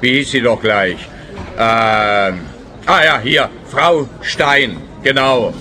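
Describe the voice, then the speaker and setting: Bright-voiced, ponderous policeman. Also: medium pitch, takes it slowly. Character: dim, ponderous male police officer. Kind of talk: comic radio play dialogue.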